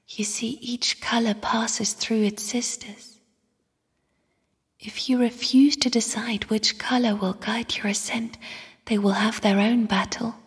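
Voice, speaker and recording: An actress playing a mysterious, sorrowful young spirit in a video game, speaking in a hushed, solemn, airy whisper. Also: female voice, medium pitch, husky. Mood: grave, foreboding. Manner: slow, hushed, solemn delivery. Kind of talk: video game character voice acting. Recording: long cavernous reverb.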